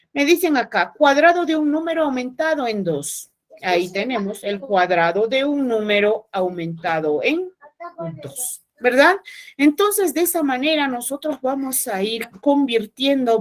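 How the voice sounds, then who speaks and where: Teacher lady, online math class, goes step by step and keeps asking if they follow, kinda high voice. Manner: explains step by step, asks "¿verdad?" often.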